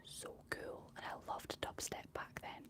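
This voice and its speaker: A Scottish woman speaking in a soft, breathy whisper, chatting unhurriedly as a livestream host in an ASMR style. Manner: unhurried, reading out and answering viewer comments.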